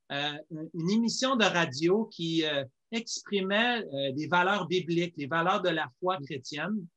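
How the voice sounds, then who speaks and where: A man from Quebec with a Quebec French accent gives a personal testimony as an interview guest. His voice is fairly high and bright. He talks conversationally, hesitating and repeating words as he goes. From Quebec, Canada.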